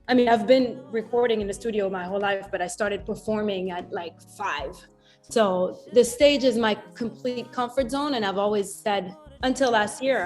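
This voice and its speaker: A woman, a musician answering interview questions in a reflective way, laughing easily and often restarting her sentences. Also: mid-range voice. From France. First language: French. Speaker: musician guest.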